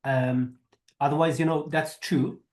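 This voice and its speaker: A man's voice: fairly high pitch, steady tone, unhurried, darkish tone. A man from Afghanistan, a historian answering questions in an online seminar, speaking conversationally with many "you know" fillers. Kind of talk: academic talk and Q&A. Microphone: video call.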